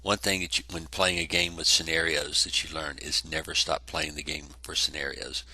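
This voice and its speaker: Man, congested, very low husky voice with a bright edge, rambling casual wargame recap. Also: little pitch movement, normal speed, dry recording. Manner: rambling, self-correcting, lots of fillers. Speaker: tabletop wargame player narrating a battle report. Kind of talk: casual battle report narration over photos.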